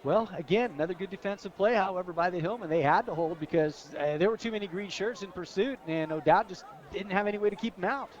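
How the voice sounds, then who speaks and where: A man's voice: high voice, quick pace, few pauses. An American man working as a radio colour commentator, giving conversational analysis of a live football game outdoors at a stadium. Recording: dull lo-fi outdoor radio broadcast.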